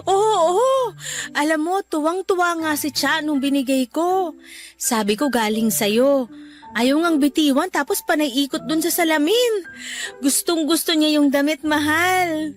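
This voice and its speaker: A woman playing a warm, forgiving mother in a Tagalog radio drama, affectionate, playful and reassuring. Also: high voice, lively intonation, measured pace, soft background music. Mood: cheerful.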